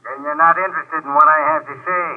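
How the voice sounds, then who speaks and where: A man's voice: fairly high pitch, fairly even intonation, fast talker. An actor in a 1950s radio drama playing an anonymous male caller who disguises his voice, speaking curt and evasive street talk over a telephone. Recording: tinny phone-line 1950s radio.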